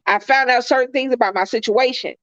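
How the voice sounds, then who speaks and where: High-voiced congested woman, earnest. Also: a little fast, dry recording, dull audio. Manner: earnest, reflective, preachy, repeats phrases. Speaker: co-host. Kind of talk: casual heartfelt chat.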